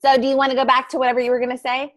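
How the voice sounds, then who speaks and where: A woman's voice: high-pitched, monotone, racing. A woman podcaster and blogger chatting casually on a live panel, laughing often at herself and using lots of fillers. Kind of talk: casual panel chat on a livestream.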